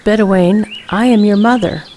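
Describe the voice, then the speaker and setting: A woman's voice: mid-range voice, moderate pace, warm tone, a little hiss or hum, close to an everyday voice. An actress playing a caring, well-mannered middle-aged mother in an audio drama. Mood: tender, soothing.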